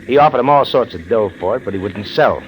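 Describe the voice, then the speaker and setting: A man's voice: on the low side, animated, fast, lightly acted. An actor playing a cocky, teasing tough-guy sweetheart in a 1940s radio drama on a noisy old recording. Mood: breezy, explaining. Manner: breezy, teasing, slangy.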